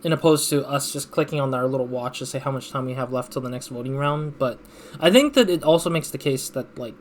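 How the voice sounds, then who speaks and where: A man's voice: mid-range voice, quick pace. Rambling male gamer with faint background noise. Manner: rambling, thinking aloud. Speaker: let's play host. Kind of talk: casual game commentary, reading narration aloud.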